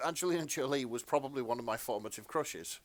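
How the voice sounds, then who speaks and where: A man's voice: mid-range voice, fast. A man co-hosting a podcast in casual conversation. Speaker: podcast co-host.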